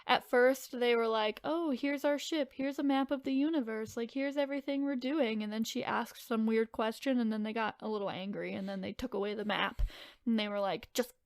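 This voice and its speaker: A woman with a fairly high voice co-hosts a podcast. She tells things in an informal, conversational way, sometimes reading from prepared notes. The sound is dry, with very little room echo. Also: fairly even intonation, a little fast. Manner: informal, filler-heavy, hedging retelling. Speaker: podcast co-host telling the story. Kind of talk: casual chat mixed with reading from notes.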